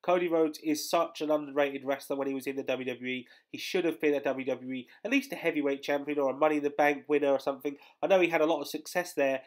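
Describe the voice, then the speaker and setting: Listening in, you hear a young man who sounds bunged up and under the weather, his voice sitting a bit high for a man. He chats and rambles in a loose vlog voice-over, filling gaps with lots of ums and uhs. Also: steady tone, fast, hardly any pauses. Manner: chatty and rambling, many fillers. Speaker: collectibles channel host. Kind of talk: casual news vlog voice-over.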